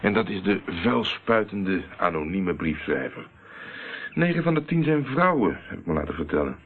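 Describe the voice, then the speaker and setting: An actor playing a shrewd, hard-nosed private detective in a radio play, questioning people in a condescending way. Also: male voice, low-pitched, very animated, moderate pace, husky, full character voice. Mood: pressing, condescending. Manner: interrogating, condescending. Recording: muffled narrowband old radio play recording.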